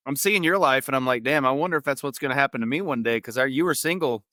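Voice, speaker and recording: A man's voice: medium pitch, fast, pushed and bright, no echo, studio quality. A man hosting a podcast in casual interview chat, informal, slangy and agreeable. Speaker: podcast host.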